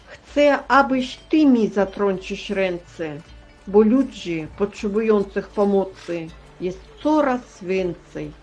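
A gentle, solemn Christ figurine from a religious play, acted by a woman. The delivery is slow and deliberate, pleading with quiet gravity. Faint music plays behind the voice. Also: medium pitch, animated. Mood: earnest, pleading. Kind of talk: dramatic religious stage-play acting.

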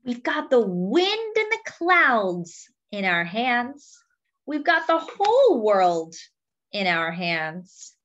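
A woman's voice: mid-range voice, a bit bright, lo-fi sound. A woman reading a picture book aloud to young children, in simple phrases as a children's storyteller.

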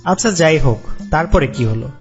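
A man's voice: fairly high pitch, expressive, normal speed. A man voicing a skeptical cartoon companion who listens to a story, humouring the teller while teasing and interrupting. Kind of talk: animated cartoon dialogue. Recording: dull lo-fi audio under loud music. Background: music.

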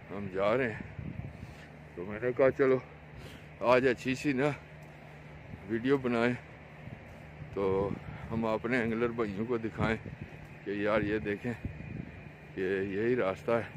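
A man's voice: steady tone, takes it slowly, pauses often. Pakistani man vlogging outdoors with background noise. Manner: repetitive, pointing things out to viewers. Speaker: fishing vlogger. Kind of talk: casual vlog commentary. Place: outdoors on an open road along a dam. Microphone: handheld phone camera, speaker behind it. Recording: noisy outdoor phone video, ambient rumble.

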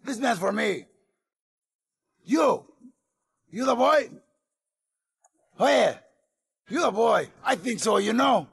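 An actor playing a hot-headed, macho young Cuban gang boss in a video game, with a strained raspy voice, a Cuban accent and blustering talk sprinkled with Spanish. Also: male voice, very high-pitched, lively intonation, slow pace, long pauses, a bit bright, full character voice. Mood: aggressive, taunting. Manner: blustering, boastful, peppers English with Spanish. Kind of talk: video game cutscene acting.

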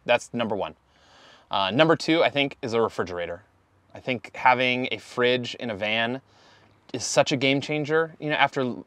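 A man's voice: medium pitch, fairly bright tone, no echo. A man in his thirties, a van company founder, talking casually to camera in an interview with easy fillers. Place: inside a camper van and outdoors by the van.

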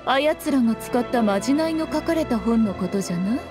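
A woman's voice: a little high, animated, a little fast, over music, natural voice. A woman voicing a proud, sly, ageless fox-spirit shrine maiden in an anime game, with haughty, old-fashioned speech. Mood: composed.

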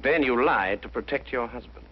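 A man's voice: medium pitch, husky, lightly acted. Man playing a composed vintage detective, muffled recording. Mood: calm, assured. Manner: precise, deliberate, interrogating. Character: brilliant, composed male detective, methodical and courteous. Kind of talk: 1950s detective drama acting. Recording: muffled 1950s broadcast, music underneath.

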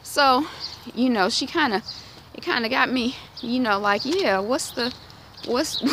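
A woman in her thirties talks casually into a phone held at arm's length while walking down a residential street, musing aloud with many fillers and restarts. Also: a little high. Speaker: vlogger. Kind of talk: casual walk-and-talk monologue. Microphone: phone camera at arm's length. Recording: outdoor street noise behind.

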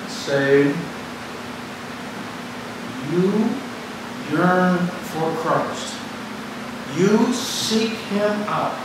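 Older man preaching in an echoey church. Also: high-pitched. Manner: dramatic, acts out imagined dialogue, rises to fervent exhortation. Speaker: bishop preaching. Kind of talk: sermon. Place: church sanctuary before the altar. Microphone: distant camera mic. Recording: echoey church from far off, background noise.

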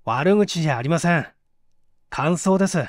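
A man's voice: on the high side, animated, normal speed, dry recording, close to an everyday voice. A male narrator plays a blunt male engineer in an audiobook story. He is serious and brusque, with varied intonation in a dry, close sound.

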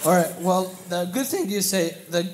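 A man from Iraq, an audience member brought on stage, explaining words hesitantly with sudden loud bursts. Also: high voice, animated, normal speed. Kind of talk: answering a comedian on stage. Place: comedy club stage with a crowd. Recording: live club recording with crowd noise.

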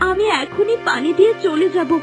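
A woman's voice: extremely high pitch, expressive, fairly quick. A woman voicing a devoted young mermaid in human guise, earnest and polite, in a fairy-tale cartoon. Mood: earnest, urgent. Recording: voice under clear background music.

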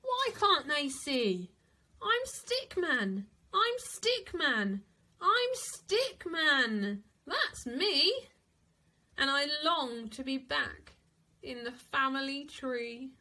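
A woman voicing an indignant, homesick little living stick man from a storybook, with emphatic, drawn-out protests. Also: sits high, sing-song, bright, forceful tone, heavily acted.

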